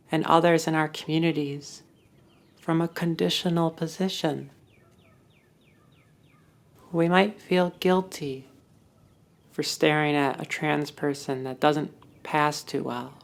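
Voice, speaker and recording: A woman narrating a guided meditation in a soothing, deliberate, instructive way, as the guide. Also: low voice, gravelly.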